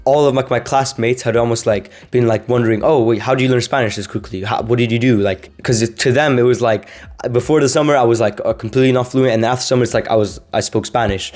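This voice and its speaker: A man's voice: medium pitch, quick pace, a little mellow. A young man from Sweden, a language-learning vlogger, talking casually to camera, rambling with many fillers and restarts.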